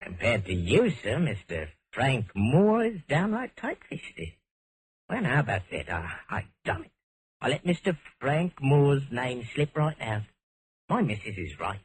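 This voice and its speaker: A man's voice: mid-range voice, huge pitch swings, unhurried, slight background noise. A man acting a chatty, servile little Cockney bartender with garrulous patter in an old-time radio drama. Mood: wheedling. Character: chatty little Cockney barman, obsequious and greedy. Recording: vintage radio drama recording.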